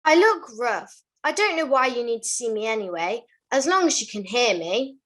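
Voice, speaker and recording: A woman plays a vain comedy puppet diva with an affected, luvvie manner. The voice sits fairly high and swings theatrically up and down. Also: big pitch swings, normal speed, a bit bright. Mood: petulant. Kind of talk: comedy puppet show acting. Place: video call.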